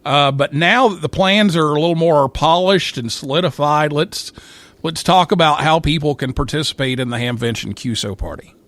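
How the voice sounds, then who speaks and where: A man's voice: a little high, moderate pace, fairly bright tone, no echo. Dry-sounding man hosting a radio talk show. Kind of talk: conversational live radio interview.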